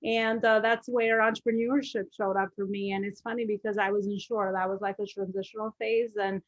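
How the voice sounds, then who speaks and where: A woman's voice: medium pitch, flat delivery, brisk, no room sound. Albanian-accented woman, dull dry audio. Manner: chatty, many fillers and restarts. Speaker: guest, business coach. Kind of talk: podcast interview storytelling.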